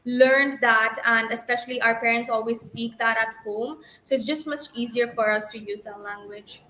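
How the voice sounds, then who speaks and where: Bright-voiced young woman, echoey room. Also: fairly high pitch, fairly even intonation, normal speed, a little hiss or hum. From Bangladesh. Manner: fluent, with small self-corrections. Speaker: IELTS practice candidate. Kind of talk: spoken exam practice answers. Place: livestream.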